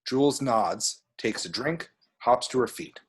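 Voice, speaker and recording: A man reading screen directions aloud as expressive scripted narration. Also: medium pitch, a little slow, husky. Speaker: reader of action descriptions.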